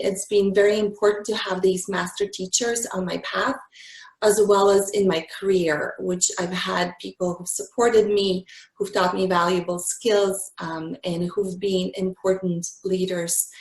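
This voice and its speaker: A woman in her forties from Bulgaria, answering interview questions in a reflective way with many pauses. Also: medium pitch, steady tone, echoey room, low-quality recording. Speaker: guest.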